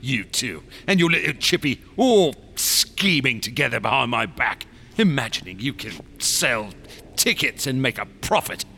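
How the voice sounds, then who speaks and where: An unhinged sculptor in a radio drama. The voice is gravelly and forceful, swooping widely in pitch as it sneers, taunts and menaces with theatrical relish. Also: male voice, big pitch swings, a little slow, exaggerated voice. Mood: taunting, paranoid.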